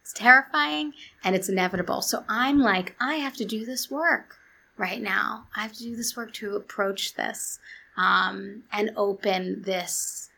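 A woman speaking as a guest therapist in an interview, calm-voiced and earnest, often restarting and quoting books. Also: mid-range voice, creaky, poor audio. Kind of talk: reflective interview talk.

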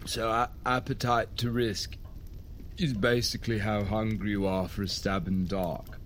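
A man's voice: fairly low pitch, fairly even intonation, moderate pace, background noise, close to an everyday voice. A man playing a tipsy, rambling boyfriend. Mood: drunk, rambling. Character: drunk, jovial, over-confident boyfriend, rambling.

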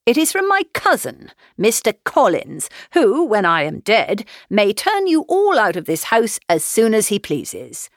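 A woman reading aloud and voicing a wry older gentleman, a father who speaks in a measured, teasing way. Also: mid-range voice, huge pitch swings, no room sound, clean studio sound, pitched above the performer's own voice. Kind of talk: audiobook reading.

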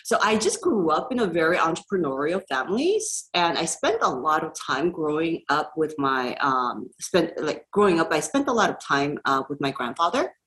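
A woman's voice: deep voice, very animated, normal speed, hardly any pauses, fairly bright tone, poor audio. Chatty woman in her forties. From South Korea. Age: 40s. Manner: chatty and rambling, with frequent laughs and fillers. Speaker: guest entrepreneur. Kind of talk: casual podcast interview.

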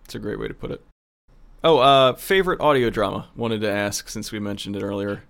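A man's voice: on the low side, brisk, vocal fry, a bit bright. A man talking casually on a podcast as a producer and co-host. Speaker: audio drama producer, co-host.

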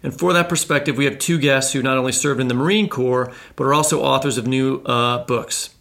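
A man's voice: medium pitch, steady tone, brisk, creaky, a bit bright. A man co-hosting a podcast interview, asking loose, rambling questions. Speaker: podcast co-host.

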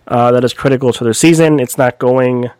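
A man co-hosting a casual sports podcast, a little raspy from a cold, talking in a rambling way with lots of fillers. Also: mid-range voice, fairly even intonation, fairly quick, a little mellow, no room sound. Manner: rambling, many fillers and restarts. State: recovering from a cold, slightly raspy. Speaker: podcast co-host.

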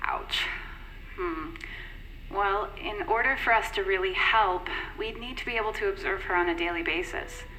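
A woman's voice: mid-range voice, normal speed, sharp tone, slight background noise, natural voice, rough recording. A woman playing a reassuring, persuasive corporate agent in an audio drama, polished and soothing. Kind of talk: naturalistic audio drama acting.

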